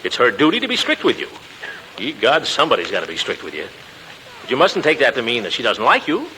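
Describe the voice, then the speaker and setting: Blustery fond middle-aged uncle, old 1940s radio comedy, pitch swoops everywhere, bit of noise. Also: male voice, huge pitch swings, fast, bright, forceful tone. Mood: blustery, hesitant. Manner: blustery, hesitant, chuckling. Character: pompous, fond, flustered middle-aged uncle raising his nephew. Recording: noisy 1940s radio recording.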